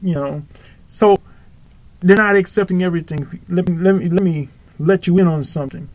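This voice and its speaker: A man's voice: high-pitched, moderate pace, darkish tone, rough recording. A man preaching informally on his own podcast, rambling and correcting himself as he goes. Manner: rambling, self-correcting, earnest. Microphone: home podcast microphone.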